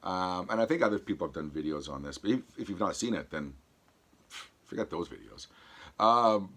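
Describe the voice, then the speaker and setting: A man hosting a casual vlog demonstration, talking haltingly with a voice that comes and goes from a throat infection. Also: low voice, lively intonation, sharp tone. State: sore throat from an infection, voice going in and out. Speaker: vlog host. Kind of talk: casual product demonstration to camera.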